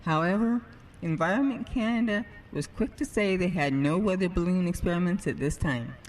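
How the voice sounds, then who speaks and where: A woman's voice: fairly low pitch, normal speed, darkish tone, natural voice, low-quality recording. A woman playing a matter-of-fact local radio newsreader, reading a scripted bulletin within a drama.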